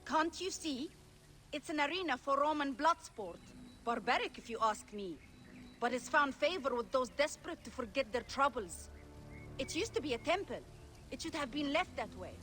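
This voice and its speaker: A woman's voice: high-pitched, lively intonation, moderate pace, bright, forceful tone, faint music behind. An actress playing a disapproving, pious local woman in a video game cutscene.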